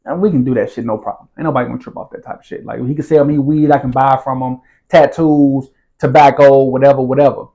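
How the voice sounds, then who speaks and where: A man's voice: medium pitch, steady tone, fast talker, few pauses, mellow, muffled recording. A man, telling his story as an interview guest in casual street slang and often asking whether the listener follows. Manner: streetwise slang, repeats "you feel what I'm saying".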